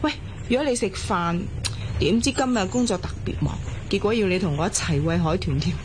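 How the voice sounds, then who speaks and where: An actress playing a bubbly, bossy, prying young best friend in a Cantonese radio drama, with a Hong Kong accent. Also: female voice, mid-range voice, a little fast, noisy background, close to an everyday voice. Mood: concerned, prying. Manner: chatty, prying, expressive.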